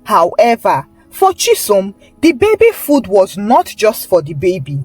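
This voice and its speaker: A woman's voice: medium pitch, huge pitch swings, measured pace, darkish tone, breathy edge, no room sound, faint music behind. A woman storyteller reading a scripted folktale narration.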